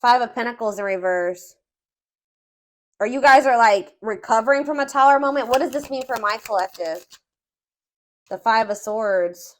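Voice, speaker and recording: A woman's voice: a little high, normal speed, long pauses, rough recording. A woman giving a casual, improvised tarot reading, thinking aloud and rephrasing herself as she goes. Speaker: tarot reader.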